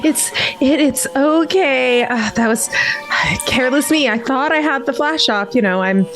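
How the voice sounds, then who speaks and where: Woman playing flustered, high-voiced, forcedly cheerful tourist. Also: unhurried, over music, rough recording. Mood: flustered politeness. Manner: hesitant, stammering, apologetic. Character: Millie, flustered woman forcing brave, eager cheer.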